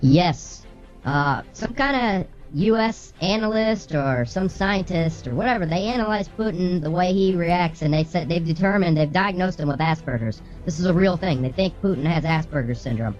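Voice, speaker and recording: A woman's voice: on the low side, moderate pace, a little mellow. A brash, bossy woman co-hosting a show, reading the news with casual comic banter and swearing. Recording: slightly muffled, music underneath.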